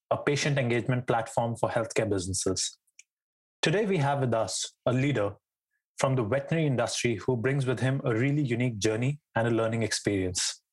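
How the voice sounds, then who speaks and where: A man's voice: mid-range voice, husky, poor audio. A man hosting a podcast interview, friendly, with many fillers and restarts. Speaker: podcast host.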